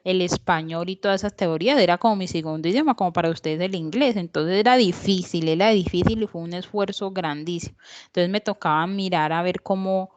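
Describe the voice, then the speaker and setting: A woman working as a sign language interpreter, voicing the guest's answers in the first person in a halting way, searching for words and using frequent fillers. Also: lowish voice, a little mellow, muffled recording.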